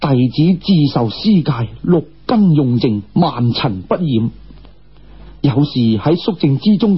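A male storyteller plays an earnest young Cantonese Taoist disciple from a folk tale. The voice is very raspy and a bit high, humble and formal in its pleading. The audiobook sound is slightly dull. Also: full character voice.

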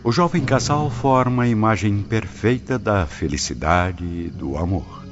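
A man's voice: on the low side, unhurried. A man giving scripted, dramatic narration for a radio drama. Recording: dull lo-fi audio. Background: prominent background music.

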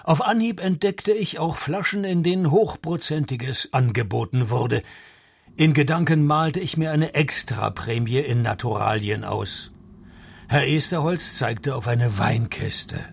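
A man's voice: mid-range voice, animated, measured pace, gravelly, breathy edge, no echo, heavily acted. A man playing a sardonic, hard-drinking private detective in a radio play, dry and laconic. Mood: dry, narrating. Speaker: narrator and lead actor of a radio play. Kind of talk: radio play narration and dialogue.

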